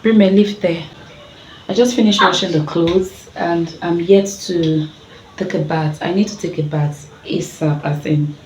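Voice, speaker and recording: Woman, Nigerian accent, husky, sore throat, slightly low voice, echoey room, noisy background. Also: steady tone, unhurried, darkish tone. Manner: chatty, laughs between sentences. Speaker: family vlogger. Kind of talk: casual talk to camera. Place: room at home, indoors.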